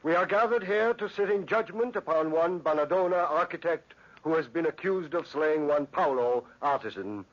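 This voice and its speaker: An actor playing a stuffy, self-important town judge who speaks formally and harrumphs, in old-time radio style. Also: male voice, a little high, close to an everyday voice. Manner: formal, pompous, throat-clearing and harrumphing. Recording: dull lo-fi old radio recording.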